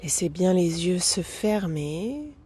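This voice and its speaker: A woman guiding a yoga nidra meditation as a teacher, speaking calmly and gently with long pauses between phrases. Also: on the low side, slight background noise. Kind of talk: guided meditation, soothing instruction.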